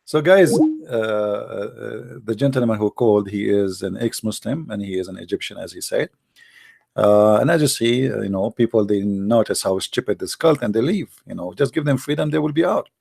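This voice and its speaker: A man's voice: lowish voice, moderate pace, creaky, a little mellow, husky. A man hosting a call-in show, speaking English as a second language with emphatic, mocking sarcasm. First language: Arabic. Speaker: show host. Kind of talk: live call-in show commentary.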